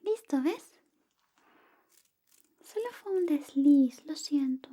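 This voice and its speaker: A woman speaking softly and often whispering in an ASMR roleplay, playing an affectionate, playful best friend. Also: high voice, expressive, takes it slowly. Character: affectionate, clumsy female best friend giving a shave. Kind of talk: ASMR personal attention roleplay.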